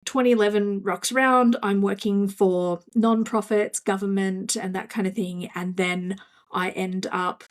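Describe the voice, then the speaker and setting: An Australian woman speaking as a podcast guest in a mid-pitched voice. She tells her story in a chatty, self-deprecating way, with plenty of fillers. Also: fairly even intonation, unhurried. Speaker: guest, marketing coach. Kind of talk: podcast interview.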